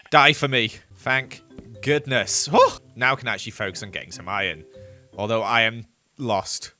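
A young man chatting through a gaming playthrough, jokey, with excitable outbursts. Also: medium pitch. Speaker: gaming youtuber. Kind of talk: playthrough commentary.

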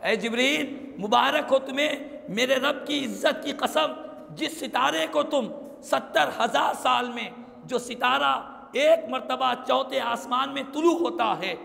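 A man's voice: very high-pitched, vocal fry, a bit bright, in a large hall. A man, delivering an emphatic, declamatory religious sermon with Arabic quotations. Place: small indoor prayer hall, seated before an audience. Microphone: stand microphone and clipped lapel mic.

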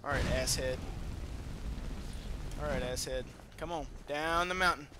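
A man giving casual let's-play game commentary in an excitable, foul-mouthed manner. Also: a little high, a little slow, background noise. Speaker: gamer playing and commentating.

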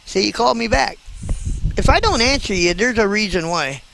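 Chatty older trucker with a gravelly drawl. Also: male voice, sits high, expressive, normal speed, background noise. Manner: chatty, folksy, talks to viewers while backing a truck. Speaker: truck driver vlogger. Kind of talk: casual running commentary while driving. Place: inside a truck cab. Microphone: headset.

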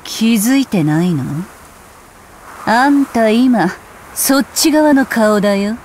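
An actress voicing a brash, bitter rocker witch in a video game, with sardonic, rough-spoken, taunting delivery. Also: on the high side, expressive, slow, a little hiss or hum, rough recording. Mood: defiant. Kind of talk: Japanese video game voice acting. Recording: loud music under the voice. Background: loud music.

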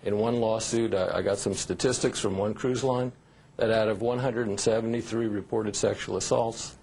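Male lawyer pundit, fifties, gravelly and hoarse-edged, explanatory news interview delivery. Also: on the low side, fairly even intonation, moderate pace, darkish tone, poor audio. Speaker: lawyer guest. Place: remote TV studio.

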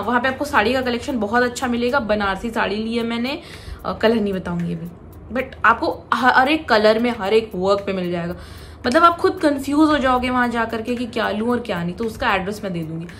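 Young woman, chatty vlog talk, voice a touch high, faint noise behind. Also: a bit bright. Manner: chatty, mixes English into Hindi, frequent fillers. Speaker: vlogger. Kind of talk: casual vlog narration. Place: indoor room with curtain backdrop.

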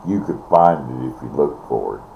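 An older man chatting casually and ramblingly, halting and repeating words, recorded on a device mic in a bedroom. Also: rumbling low, lively intonation, gravelly. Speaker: vlogger. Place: small bedroom, indoors. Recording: dull, noisy webcam audio.

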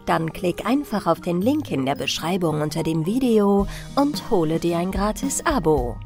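A woman narrating a bedtime audiobook in a gentle, expressive, deliberate storytelling style. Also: lowish voice, faint music behind.

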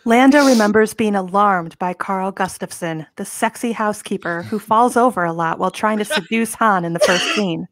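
A woman's voice: medium pitch, moderate pace, hardly any pauses, a little hiss or hum. A woman reading scripted stage directions as narrator of a comic table read. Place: home, video call.

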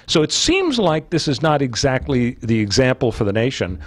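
Older american guy, radio host talking politics, very animated pitch, dry close studio mic. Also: normal speed, no echo. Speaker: radio talk show host. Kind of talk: political panel talk. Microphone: close broadcast microphone on boom arm.

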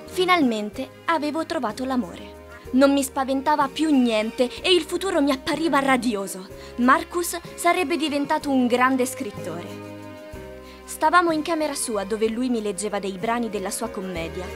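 A passionate, sharp-tongued young woman from an animated film, dubbed by a woman. Her voice is high and swings widely in pitch, with theatrical emphasis and music behind it. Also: normal speed. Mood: wistful narration. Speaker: fan dub voice actress. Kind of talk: animated film dubbing and narration.

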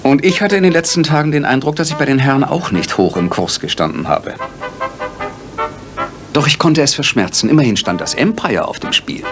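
Ein Sprecher, der in einem Hörspiel einen ruhigen, trocken spottenden Detektiv spielt. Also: male voice, medium pitch, brisk, music in the background, close to an everyday voice. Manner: calm, dry and teasing. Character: cool, wry male detective, middle-aged. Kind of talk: German radio drama.

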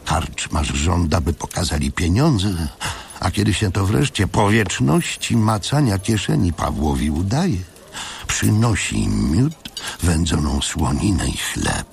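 A man reading literary fiction as a dramatic audiobook narrator, in deliberate, short broken phrases. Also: low voice, very animated, creaky, slight background noise.

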